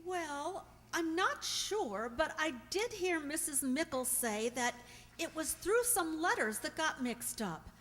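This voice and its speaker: A woman's voice: high-pitched, expressive, slow pace, fairly bright tone, exaggerated voice. A woman playing a distraught, fragile young sweetheart with melodramatic pleading in a radio play. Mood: hesitant. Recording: echoey hall.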